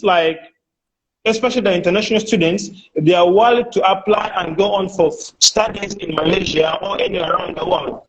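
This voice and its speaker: A man's voice: high voice, highs cut off. A man hosting a casual live online chat and interview, talking with many fillers and restarts, and cutting in with eager interjections. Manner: enthusiastic interjections.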